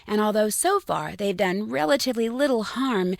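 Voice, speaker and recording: A woman's voice: medium pitch, animated, moderate pace, vocal fry, no room sound, studio quality. A woman playing a curious, plucky young amateur detective in a video game, articulate and inquisitive as she thinks things through. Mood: composed narration. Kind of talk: scripted video game voice acting.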